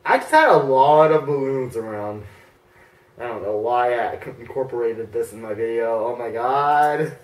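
A teenage boy reacting to his own old videos in his bedroom, embarrassed and excitable, jumping between mutters and yelps. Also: mid-range voice, moderate pace, roomy sound, far from the mic, low-quality recording. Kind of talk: casual reaction commentary. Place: bedroom, indoors, hugging a big stuffed bear.

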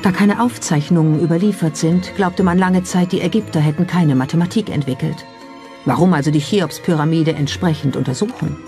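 A narrator reading a scripted documentary in a measured storytelling style. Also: medium pitch, warm tone, soft background music.

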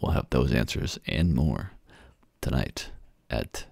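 A man's voice: a bass voice, animated, takes it slowly, vocal fry, darkish tone, breathy edge, no echo, clean studio sound, heavily acted. A man voicing a solemn evening news promo announcer in a mock-serious, portentous manner.

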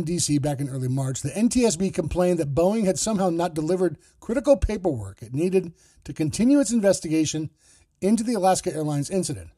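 A man hosting a news podcast, reading a scripted report in a measured, explanatory way. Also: fairly high pitch, dark tone, slightly rough, no room sound, studio quality.

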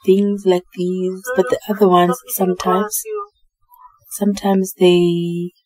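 A South African woman narrating her cleaning vlog in a relaxed, chatty voice-over, often repeating herself. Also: lowish voice, takes it slowly, over music, low-quality recording. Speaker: home cleaning vlogger.

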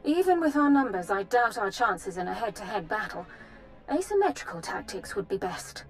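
A woman's voice: mid-range voice, big pitch swings, normal speed, close to an everyday voice, poor audio. An actress voicing a precise, analytical female Republic officer giving a briefing in a video game. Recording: game audio with music score.

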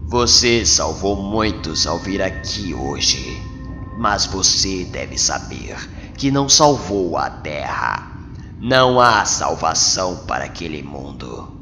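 A man's voice: low-pitched, full character voice. A man voicing a cruel, arrogant alien overlord in a motion comic dub, pompous and menacing in his scorn for humanity. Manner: pompous, slow, menacing delivery. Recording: long cavernous reverb under loud music.

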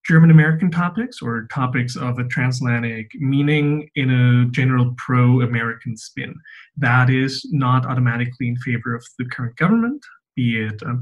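A man's voice: mid-range voice, low-quality recording. A man in his twenties from Austria, explaining things articulately and at length as a podcast guest, with a light joking touch. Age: 20s. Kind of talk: casual discussion.

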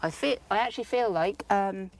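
A woman's voice: mid-range voice, moderate pace. A woman narrating a casual nature walk vlog outdoors, musing in short phrases full of wonder. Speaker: vlogger. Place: outdoors in woodland.

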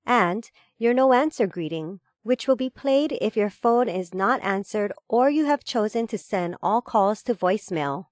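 A woman reading a scripted instructional voice-over in a measured, formal, step-by-step manner. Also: medium pitch, animated, dry recording, clean studio sound. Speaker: tutorial narrator.

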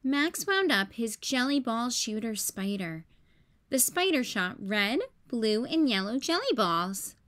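A woman hosting story time, reading a children's picture book in a careful, expressive way for young listeners. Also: on the high side, takes it slowly. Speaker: storytime host.

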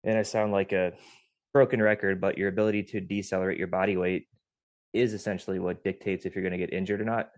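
A man's voice: low-pitched, little pitch movement, fast talker, dry recording. A man co-hosting a podcast, explaining strength training and rehab in a technical, lecturing way. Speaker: podcast co-host, physical therapist. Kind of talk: casual podcast discussion.

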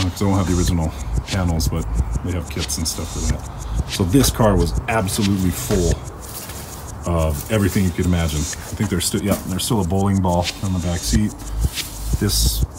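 A man's voice: low-pitched, background noise. An American man giving a casual, colloquial, opinionated walkaround as a car restorer. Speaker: car restorer presenting a find. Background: music.

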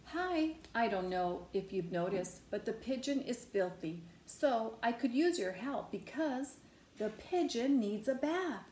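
A middle-aged woman reads a children's story aloud. Her voice sits fairly high and she takes her time over the words. It is picked up by a camera microphone in an echoey room. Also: animated, unhurried, darkish tone, slight background noise. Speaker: storyteller reading a picture book aloud. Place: small indoor room. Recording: roomy echo, distant camera microphone.

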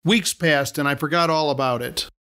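A middle-aged man reading his own story aloud as a podcast host, in a measured, wry storytelling manner. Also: a little high, bright, forceful tone. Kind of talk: scripted storytelling narration.

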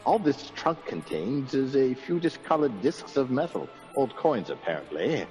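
An actor playing a puzzled, excitable country gentleman in a radio mystery, exclamatory and old-fashioned. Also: medium pitch, normal speed, soft background music, lightly acted. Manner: exclamatory, bewildered, old-fashioned gentry speech. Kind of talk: radio mystery drama acting. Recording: dull, lo-fi sound.

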